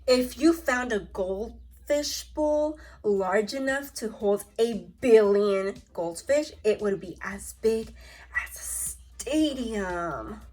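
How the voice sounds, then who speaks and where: A woman's voice: a little high, lively intonation, takes it slowly, darkish tone, soft background music. A woman teaching young children through a read-aloud lesson, reading from a book and prompting pupils with questions and short instructions. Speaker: second-grade teacher.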